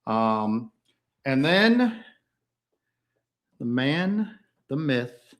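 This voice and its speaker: A man co-hosting a casual live stream, joking with viewers, clearing his throat as he recovers from being sick. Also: medium pitch, sing-song, extremely slow. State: recovering from illness. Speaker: co-host.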